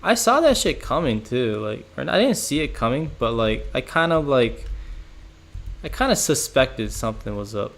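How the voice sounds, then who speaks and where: A young man talks loosely on a home livestream, stringing thoughts together with lots of "like" and "bro" and breaking into laughs. His voice sits in a middle pitch with a gravelly, creaky edge. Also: sing-song, moderate pace, fairly bright tone. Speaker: streamer. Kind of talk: casual livestream chat reacting to chat.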